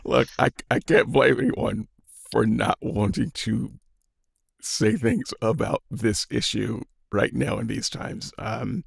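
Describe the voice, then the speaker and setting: A man in his fifties talking casually and reflectively, pausing often with fillers and restarts. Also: medium pitch, lively intonation, vocal fry, slightly rough, no echo, studio quality. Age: 50s. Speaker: podcast guest. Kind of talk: casual opinion talk.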